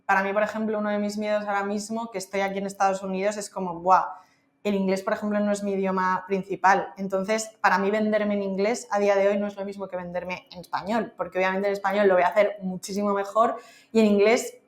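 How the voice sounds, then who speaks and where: A woman's voice: mid-range voice, level tone, fast talker, roomy sound. A woman with a Spanish accent from Spain, a podcast guest talking candidly and casually, with lots of fillers. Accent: Spain, Castilian Spanish. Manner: candid, chatty, many fillers like "o sea" and "en plan". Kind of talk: casual interview conversation.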